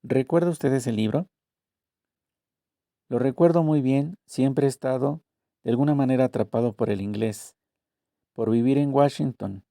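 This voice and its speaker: A man reading a translated interview aloud, voicing both the questions and the answers in a measured, deliberate way. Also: mid-range voice, vocal fry, mellow, no echo, studio quality.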